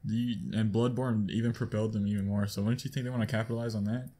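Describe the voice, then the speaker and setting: A young man with a fairly low voice, chatting on a gaming podcast. He talks quickly and matter-of-factly. He drops dry jokes between facts. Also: little pitch movement, mellow, a little hiss or hum. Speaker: podcast co-host. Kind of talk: casual chat among friends.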